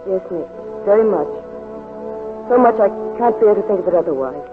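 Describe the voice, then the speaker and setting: A woman's voice: mid-range voice, lively intonation, fairly quick, natural voice. An actress playing a brave, earnest woman spy in love in a 1940s radio drama. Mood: tender, wistful. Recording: muffled old 1940s radio broadcast, faint music.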